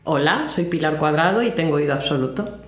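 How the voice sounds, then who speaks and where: A woman's voice: low voice, lively intonation, brisk. A woman from chatting casually as an interviewee, recorded in an echoey room. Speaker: interviewee, musician. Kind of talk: casual chat.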